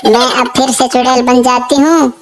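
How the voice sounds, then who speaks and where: A woman's voice: high-pitched, fairly even intonation, moderate pace, close to an everyday voice. Woman doing a whiny cartoon girl. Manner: whiny, pleading. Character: pushy little girl who plays pranks. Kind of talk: cartoon voice acting. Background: music.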